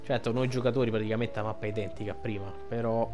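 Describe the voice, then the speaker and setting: A man's voice: lowish voice, fairly even intonation, fast talker, over music. A man giving colloquial, rambling, casual gameplay commentary. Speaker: gaming commentator.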